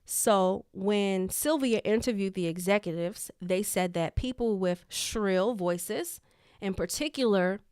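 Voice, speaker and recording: A woman who is a leadership coach, talking casually and deliberately, repeating key points for emphasis in a voice she has trained to sit lower than its natural high pitch. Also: clear, resonant tone, no echo, clean studio sound. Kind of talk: casual talk to camera.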